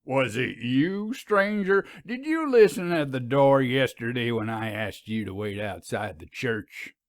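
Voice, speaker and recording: A man voicing a commanding, folksy Southern minister in a storybook reading, with oratorical, drawn-out phrasing and a Southern US accent. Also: medium pitch, expressive, no room sound, clean studio sound. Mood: wry, accusing. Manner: oratorical, drawn-out emphatic delivery. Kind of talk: audiobook character reading.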